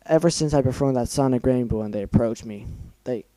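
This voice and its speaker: A man's voice: medium pitch, brisk, warm tone, dry recording, natural voice. A man voicing a cruel, bitter female pegasus villain who screams accusations in a fan story reading. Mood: furious.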